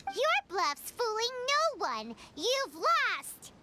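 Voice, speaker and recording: Tiny chirpy sidekick girl, very high, sing-song, blunt quips, music behind. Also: big pitch swings, extremely slow, bright, forceful tone, slight background noise, exaggerated voice. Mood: incredulous. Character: small childlike female companion, blunt and chirpy. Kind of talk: game voice acting. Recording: game soundtrack music behind.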